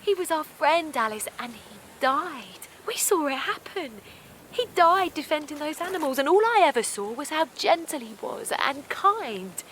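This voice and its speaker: Naive middle-aged village woman, high voice, hesitant, pleading manner, audio drama, faint noise behind. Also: big pitch swings, moderate pace, fairly bright tone. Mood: upset, pleading. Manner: hesitant, colloquial, protesting, repeats herself. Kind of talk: naturalistic audio drama acting.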